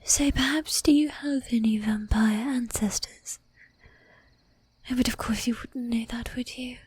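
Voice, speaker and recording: Woman playing a breathy, slow-whispering seductive vampire lady. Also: fairly high pitch, a little mellow, no room sound. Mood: intrigued, teasing. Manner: slow, teasing, commanding, with archaic polite phrasing. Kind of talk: whispered ASMR roleplay.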